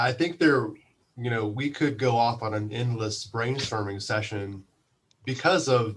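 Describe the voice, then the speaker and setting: Low-voiced man thinking aloud in interview. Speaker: podcast guest, radio station manager. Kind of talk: casual interview chat.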